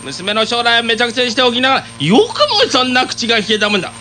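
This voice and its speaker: A man's voice: extremely high pitch, fast, sharp tone, slight background noise. An actor playing a stubborn, pompous father in a comic radio drama, with old-man diction and a stammer when scandalised. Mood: outraged.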